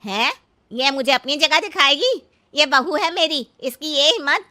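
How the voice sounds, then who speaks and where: An elderly village mother-in-law for a cartoon story, very sharp and high. She is cheeky and stubborn, drawing out her folksy scolding with big swoops in pitch. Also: lively intonation, unhurried, full character voice. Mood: indignant. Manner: literal-minded, scolding, folksy. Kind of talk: exaggerated comic cartoon story acting.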